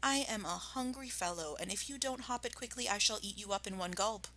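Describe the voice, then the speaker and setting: A woman voicing a confident father fox in a storybook, smooth and commanding. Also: mid-range voice, a little fast, bright, forceful tone, natural voice. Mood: menacing, suave. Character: suave, confident father fox leading his band.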